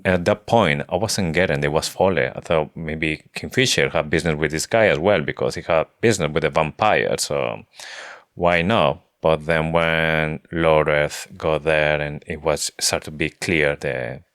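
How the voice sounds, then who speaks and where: A man who is a non-native English speaker, co-hosting a casual book discussion podcast with somewhat halting phrasing. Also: very deep voice, a bit bright, no room sound, clean studio sound. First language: not English. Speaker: podcast co-host.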